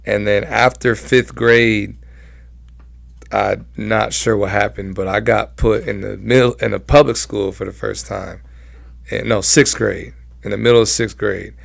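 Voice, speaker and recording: A man's voice: fairly low pitch, steady tone, a little slow, vocal fry, no echo. A young American man telling personal stories casually as an interview guest, in informal, slangy speech. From Southern California, United States. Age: young adult.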